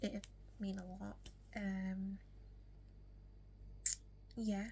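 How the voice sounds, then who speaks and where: A young woman speaks with a strong Yorkshire accent in a casual, whispery get-to-know-me vlog, full of ums and the odd hiccup. Her pitch stays flat and even. A faint noise sits under her voice. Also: extremely slow, creaky. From West Yorkshire, England. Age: young adult. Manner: soft, frequently whispery delivery with many ums. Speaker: vlogger.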